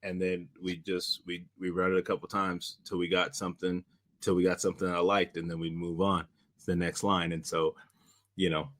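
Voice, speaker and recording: A man chatting casually about directing, speaking in a halting, rambling way and often repeating himself. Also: low-pitched, flat delivery, a bit bright, no room sound. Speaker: director and podcast host. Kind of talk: casual behind-the-scenes chat.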